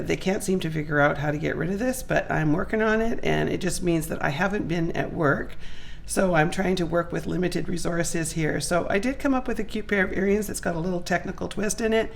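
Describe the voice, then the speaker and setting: Croaky, creaky woman teaching a craft. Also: lowish voice, slight background noise. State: croaky voice from a virus. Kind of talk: step-by-step craft tutorial.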